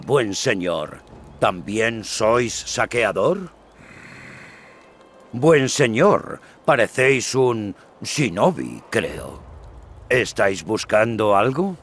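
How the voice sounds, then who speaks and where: An actor playing a chatty, courteous and slightly wary scavenger in a video game, musing in a halting way. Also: male voice, mid-range voice, a bit bright, a little hiss or hum, heavily acted. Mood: polite, wary. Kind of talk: dubbed video game cutscene acting.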